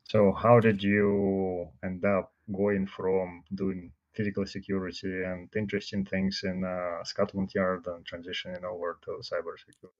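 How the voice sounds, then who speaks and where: A man's voice: deep voice, flat delivery, normal speed. A man hosting an interview, introducing his guest formally and asking direct questions. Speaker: host. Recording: dull lo-fi sound.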